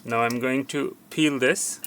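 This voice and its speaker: Male tutorial host, creaky forceful voice, walking through a repair step by step with repeated cautions. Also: medium pitch, fairly even intonation, a little slow. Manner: instructive, repetitive, cautioning. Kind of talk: unscripted hands-on repair demonstration.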